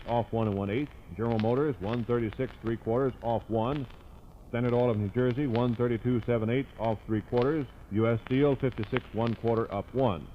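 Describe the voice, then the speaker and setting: 1950s American radio newsman, dull noisy recording. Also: medium pitch. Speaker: radio newscaster. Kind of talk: scripted 1950s radio news reading.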